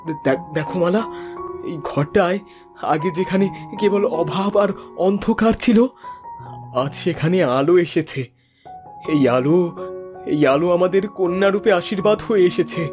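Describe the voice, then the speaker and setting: A man's voice: high voice, moderate pace, long pauses, music in the background, natural voice. A man voicing a poor fisherman and doting father in a fairy-tale cartoon, earnest and full of emotion. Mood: joyful, tender.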